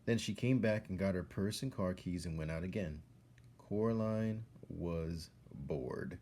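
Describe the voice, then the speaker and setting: A man reading a children's story aloud as a storyteller, expressively and with dramatic pauses. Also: low-pitched, mellow. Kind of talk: narrated story read-aloud.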